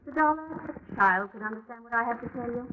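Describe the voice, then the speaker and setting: A woman playing a self-assured, efficient young assistant with a crisp, proper manner in a 1940s radio drama. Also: a little high, fast, close to an everyday voice. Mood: impatient, superior. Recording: muffled vintage radio recording, faint hiss.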